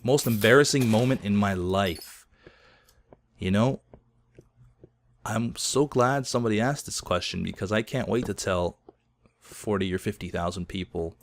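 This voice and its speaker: A man in his thirties, somewhat hoarse, telling chatty, self-deprecating stories while he plays a game. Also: lowish voice. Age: 30s. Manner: chatty storytelling, self-deprecating, laughs often. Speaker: gaming video host. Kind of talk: casual gameplay commentary.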